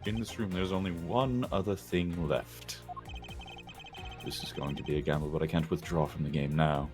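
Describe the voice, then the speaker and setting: A man's voice: extremely low pitch, fairly even intonation, normal speed, over music. A man voicing a composed, determined prosecutor in a video game fan dub, speaking formally and dramatically. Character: composed, haughty male prosecutor, sharp and determined.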